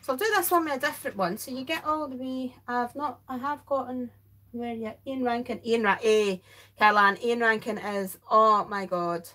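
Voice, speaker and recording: A woman's voice: a little high, moderate pace, bright, forceful tone. A tired middle-aged Scottish woman chatting in a rambling way while crafting live and answering viewers. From Scotland. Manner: chatty, rambling, self-interrupting. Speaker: crafting livestream host. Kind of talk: casual chat while crafting, reading viewer comments. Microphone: overhead camera over craft desk.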